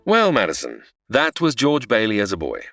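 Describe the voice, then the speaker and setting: Deep-voiced, exasperated angel boss. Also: female voice, wildly swooping pitch, moderate pace, fairly bright tone, no room sound, soft background music, heavily acted. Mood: patient narrating, stern. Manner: formal, impatient, sighing. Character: stern, exasperated superintendent of angels, male. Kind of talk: comedy audio drama.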